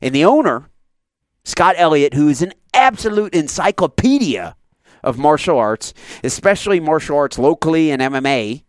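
A man's voice: fairly high pitch, expressive, normal speed, no echo, studio quality. A man in his thirties hosting a casual sports radio talk show, enthusiastic and a little rambling. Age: 30s.

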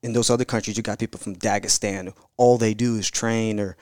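A man's voice: on the low side, steady tone, a little fast, a little mellow, no echo, studio quality. A young man from Morocco, a guest on a podcast, talking in an easygoing, agreeable way with plenty of yeahs. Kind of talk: casual interview chat.

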